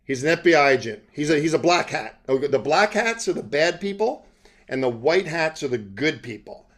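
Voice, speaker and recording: A man's voice: fairly high pitch, lively intonation, moderate pace, a bit bright. A man hosting an interview, talking informally and conversationally, drifting off into asides. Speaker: interviewer, self-styled journalist host. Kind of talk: casual, rambling interview talk.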